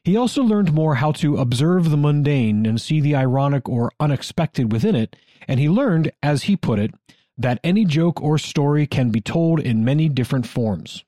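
A man's voice: a little high, expressive, normal speed, mellow, no room sound, clean studio sound. A man with an American accent, co-hosting a history podcast with scripted but conversational narration. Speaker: podcast co-host.

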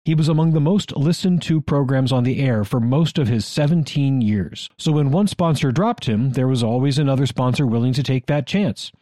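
A man with a dark, mellow voice co-hosts a history podcast. He narrates from a script in a conversational way. The recording is dry, with hardly any room sound. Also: medium pitch, normal speed, hardly any pauses, dry recording. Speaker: podcast co-host.

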